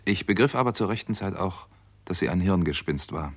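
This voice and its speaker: An actor playing a wary, brooding doctor in a 1960s German radio crime drama, with a measured delivery. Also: male voice, deep voice, animated, slightly rough, heavily acted. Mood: brooding, tense. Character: wary, brooding American doctor narrating his own case.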